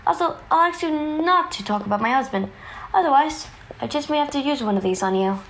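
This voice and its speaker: An actress playing a defiant, sharp-tongued woman agent in an audio drama, with a cutting, disdainful manner. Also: sits high, expressive, a little fast, slight background noise. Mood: cool warning.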